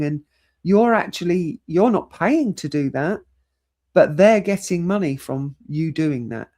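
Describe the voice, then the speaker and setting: A woman's voice: low-pitched, darkish tone. A woman hosting a show, commenting persuasively after a played clip. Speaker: show host.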